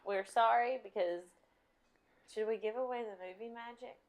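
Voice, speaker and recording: A woman's voice: a little high, fairly even intonation. Chatty woman with thick Southern accent. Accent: strong Southern US. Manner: chatty, enthusiastic, quick to laugh. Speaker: podcast co-host. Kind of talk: casual chat.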